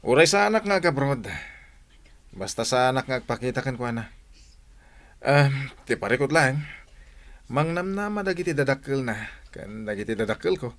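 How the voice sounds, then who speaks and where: A troubled young man, acted by a man, with a medium, everyday voice. He confides earnestly to a friend and sometimes breaks into loud bursts. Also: medium pitch, expressive, moderate pace, long pauses. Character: young man torn over an arranged engagement. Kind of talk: amateur radio comedy drama.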